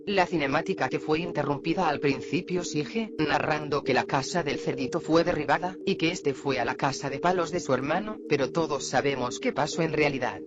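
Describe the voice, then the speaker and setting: A man with a somewhat high-pitched voice narrates a video. He gives a story recap with commentary, describing events as they unfold. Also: a little fast, few pauses, muffled recording, background noise.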